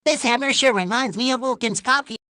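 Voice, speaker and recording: An actor voicing a cheerful, pushy puppet coffee pitchman in a comedic ad sketch, chipper and deadpan about mayhem. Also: a little fast, dry recording, clean studio sound, natural voice. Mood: chipper menace.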